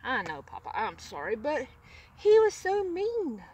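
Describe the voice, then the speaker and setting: A woman's voice: sits high, wildly swooping pitch, unhurried, darkish tone, slightly rough, distant mic, heavily acted. A woman voicing an impulsive, pleading little red hen child who blurts and exclaims eagerly, in a children's storybook. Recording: outdoors with background noise.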